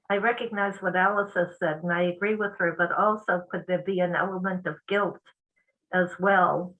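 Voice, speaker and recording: A woman's voice: on the low side, fairly even intonation, moderate pace, a bit bright. A woman participating in a group discussion, raising a point as a question, heard through lo-fi audio. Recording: dull, lo-fi audio.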